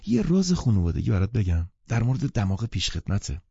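A man's voice: fairly low pitch, sing-song, gravelly, dark tone, husky, no room sound, exaggerated voice. A man voicing a charming, flighty young woman who talks in a breathless, gushing singsong, in a dull lo-fi recording.

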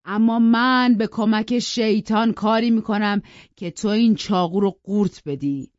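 A woman voicing a violent, raging father who shouts threats and mocks. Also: on the high side, clear, resonant tone, dry recording, muffled recording, voice pushed up, natural voice.

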